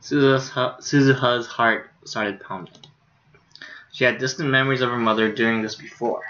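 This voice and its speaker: A man's voice: medium pitch, steady tone, moderate pace, bright, forceful tone, highs cut off. A young man streaming, casually reading a visual novel aloud with offhand asides.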